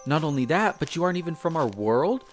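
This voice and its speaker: A man's voice: a little high, very animated, fast, soft background music. A man playing an earnest, bookish young elf apprentice in a roleplay audio drama, chatty and a little hesitant, easily flustered. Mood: thoughtful, nervous. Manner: hesitant, chatty, explains eagerly. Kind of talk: scripted roleplay audio drama addressed to the listener.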